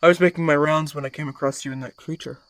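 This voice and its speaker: Crickets and clear background noise surround a man acting as a mysterious park ranger in an audio drama. His voice is fairly high for a man, hushed and measured, with a calm reverence. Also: lightly acted. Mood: reassuring.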